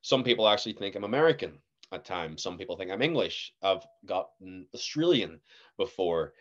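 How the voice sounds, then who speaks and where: A young man with a Northern Irish accent, a guest actor telling stories in a casual podcast chat, animated and laughing often. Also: low voice, moderate pace, highs cut off. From Northern Ireland.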